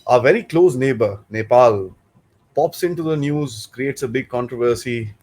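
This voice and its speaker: Indian male host, mid pitch, emphatic intro read. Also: normal speed, a little mellow. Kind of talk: scripted show introduction.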